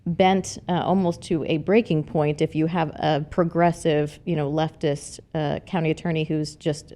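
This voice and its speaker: A woman's voice: low voice, fairly even intonation, normal speed, dark tone. A middle-aged woman with a slight Texas accent, a political candidate talking earnestly in a casual podcast conversation, with many fillers and restarts. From New Mexico, raised in Arizona.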